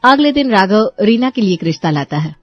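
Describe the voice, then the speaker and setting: A woman's voice: mid-range voice, very animated, brisk, no echo, muffled recording, faint music behind. A woman narrating a scripted story as its storyteller.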